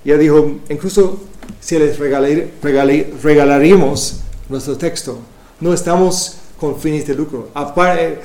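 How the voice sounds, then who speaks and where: A man speaking Spanish as a second language, giving a halting church presentation as a Bible translation coordinator, with many restarts and fillers. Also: fairly high pitch, fairly even intonation, mellow, echoey room, rough recording. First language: not Spanish.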